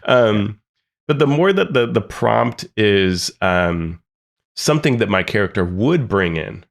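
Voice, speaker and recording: A man's voice: on the low side, expressive, a little slow, vocal fry. A man co-hosting a podcast, chatting casually and thoughtfully, often repeating words as he thinks. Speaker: podcast co-host. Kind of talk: casual chat about tabletop games.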